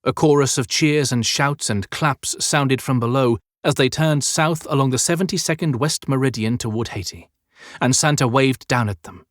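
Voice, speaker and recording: A man narrating a fantasy audiobook as scripted storytelling, with measured, clearly phrased delivery. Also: medium pitch, dry recording, clean studio sound.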